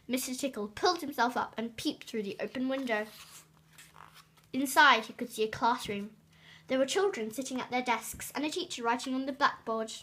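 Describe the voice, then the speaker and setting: A woman reading a children's storybook aloud expressively, with dramatic, drawn-out emphasis on key words. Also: high-pitched, pushed and bright. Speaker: storybook reader.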